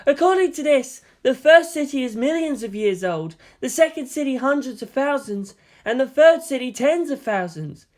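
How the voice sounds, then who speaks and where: Narrator acting out earnest, high-pitched geeky young lieutenant. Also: expressive, moderate pace, few pauses, darkish tone. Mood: emphatic, lecturing. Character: earnest, geeky young male lieutenant explaining invented scripture.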